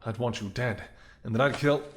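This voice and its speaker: Casting: male actor for a smirking, dangerous hired gun, medium-pitched, sardonic and taunting, intimate audio roleplay drama. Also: normal speed, noisy background, lightly acted. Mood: suspicious, realizing. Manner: taunting, sardonic, swearing, drops to whispers. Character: menacing, smirking male mercenary ex-bodyguard holding someone at gunpoint.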